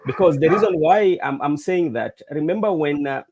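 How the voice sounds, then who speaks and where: Soft-spoken male interviewer, dry sound. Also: a little high, dry recording. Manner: measured, lots of short backchannel acknowledgements. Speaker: host, interviewer.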